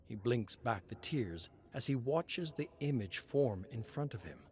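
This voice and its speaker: A man reading a scripted dramatic story as narrator in a measured, suspenseful manner. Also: medium pitch, lively intonation, darkish tone, slight background noise.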